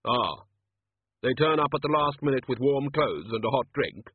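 A man's voice: medium pitch, animated, moderate pace, husky, dry recording. A man voicing Death, a terse, commanding male spirit. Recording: tinny lo-fi audio.

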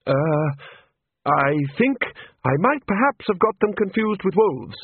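A man plays a muddled wizard academic from a comic fantasy story. He sounds pedantic yet hesitant, with a raised pitch and a narrow, boxed-in radio sound. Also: lively intonation, moderate pace, slightly rough, dry recording. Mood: sheepish.

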